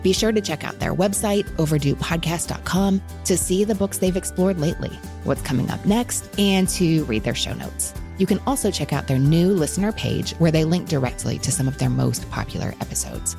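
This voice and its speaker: A woman's voice: on the low side, lively intonation, fairly quick, gravelly, hardly any pauses, mellow, faint music behind. A woman hosting a book podcast, chatting with guests and addressing her listeners. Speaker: podcast host. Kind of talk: warm book-podcast hosting, interview and sign-off.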